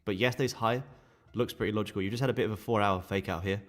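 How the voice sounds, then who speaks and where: A man with a sore, croaky throat, casually walking viewers through live trading charts. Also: on the low side, steady tone, very fast, a bit bright. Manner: casual, chatty explaining to viewers. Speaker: day trading streamer.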